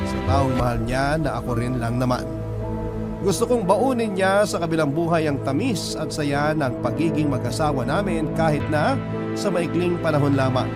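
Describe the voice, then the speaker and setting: A man narrating a love story in the first person, earnest and steady, reading a scripted account. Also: fairly high pitch. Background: loud music.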